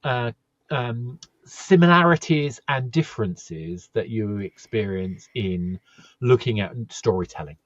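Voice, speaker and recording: A man's voice: mid-range voice, animated, a little slow, dry recording, highs cut off. A man hosting a casual podcast interview, talking in a rambling, hesitant way with many fillers. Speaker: podcast host.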